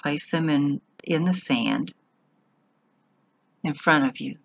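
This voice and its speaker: Woman, guided meditation, very unhurried, breathy-husky voice, short phrases, long pauses. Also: low-pitched.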